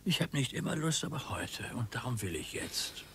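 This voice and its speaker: An actor playing a bored, grumpy older retired husband with a weary, gruff manner and a husky voice. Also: male voice, mid-range voice, big pitch swings, moderate pace, pushed and bright, slight background noise. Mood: insistent. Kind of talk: radio play acting.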